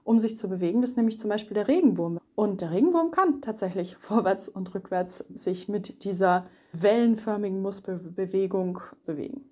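A woman's voice: mid-range voice, fairly quick, hardly any pauses, mellow. A woman animal expert explaining things carefully for a young audience. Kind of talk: explanatory interview answers for children.

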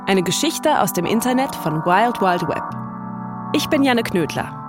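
A woman's voice: mid-range voice, sing-song, unhurried, music in the background. A woman with a standard German accent delivering scripted narration as a podcast host.

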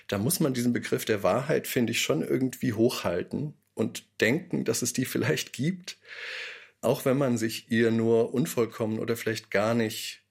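A man's voice: mid-range voice, creaky, breathy edge. A man giving a thoughtful, explanatory interview statement as one of the makers of a radio play. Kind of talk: reflective interview talk.

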